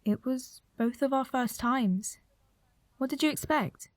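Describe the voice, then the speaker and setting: A woman's voice: high-pitched, unhurried, no room sound, studio quality. A woman acting as an anxious, defensive young woman in a naturalistic radio play. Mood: nervous.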